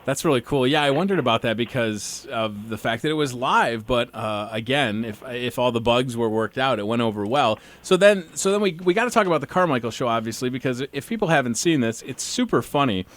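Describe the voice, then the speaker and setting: A man's voice: medium pitch, lively intonation, brisk, hardly any pauses. A man in his thirties interviewing a guest, friendly and chatty, often restarting his questions. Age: 30s. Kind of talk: casual interview.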